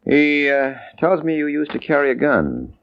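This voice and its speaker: A man's voice: a little high, very animated, moderate pace. An actor playing a cool, skeptical hard-boiled tough guy in a 1950s radio crime drama, wry and clipped. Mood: wry suspicion. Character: cool skeptical male detective, and hard-boiled gambler crook. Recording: muffled old radio recording.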